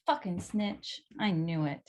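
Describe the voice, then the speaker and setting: A woman's voice: lowish voice, a little slow, darkish tone, lightly acted. A woman playing a brash, flirtatious, foul-mouthed sex worker in a screenplay table read, sassy and slangy. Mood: defiant, scornful.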